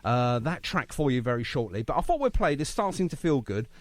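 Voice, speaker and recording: British male radio host, lively and matey. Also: mid-range voice, fairly quick, dry recording. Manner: effusive, matey, teasing. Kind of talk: chatty live music radio interview.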